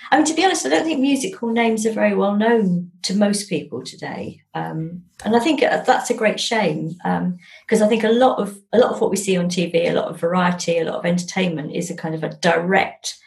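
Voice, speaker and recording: A woman's voice: medium pitch, a little fast, gravelly, a little mellow. A woman with an English accent, telling stories casually and chattily, often breaking off and restarting her phrases as she goes. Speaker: interview guest, novelist. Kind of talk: casual conversational storytelling.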